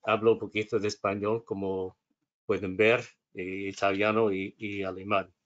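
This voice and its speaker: Low-voiced man, hesitant. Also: little pitch movement, normal speed, lo-fi sound. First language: English. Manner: hesitant, self-correcting, reading from notes. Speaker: instructor. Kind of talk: webinar lecture.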